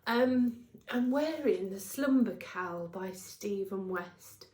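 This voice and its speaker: A woman's voice: medium pitch, animated, slow pace, darkish tone. This is a middle-aged British woman hosting a casual craft vlog, with noticeable room echo around her. She sounds congested and a little nasal from a cold. She talks in a chatty, wandering way, repeating words for emphasis and tagging little questions onto her sentences. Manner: chatty, rambling, emphatic repetition, tag questions. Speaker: knitting podcast host. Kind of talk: casual chat to camera. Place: indoors at a craft workplace. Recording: roomy, echoing indoor sound.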